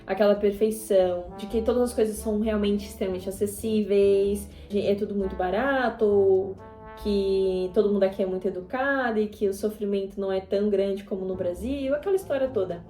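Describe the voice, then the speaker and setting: A woman's voice: mid-range voice, a little fast, dark tone, roomy sound, soft background music. A Brazilian woman in her twenties chatting casually to her viewers while she paints, rambling with lots of fillers and tag questions. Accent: Brazilian Portuguese. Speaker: YouTuber.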